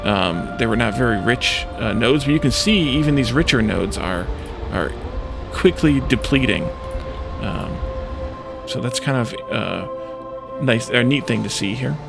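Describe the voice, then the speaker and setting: A man's voice: mid-range voice, animated, a little slow, creaky, over music. A man giving casual let's play commentary, thinking aloud in a hesitant, self-questioning way.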